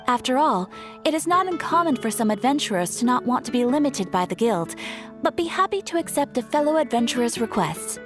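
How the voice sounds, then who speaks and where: A woman acts a helpful young receptionist at an adventurers' guild in an anime game. Her voice is on the high side and very expressive, formal and courteous, over soft background music. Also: a little fast, lightly acted. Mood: polite, encouraging. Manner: polite, formal, encouraging. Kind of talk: English anime-style game dub.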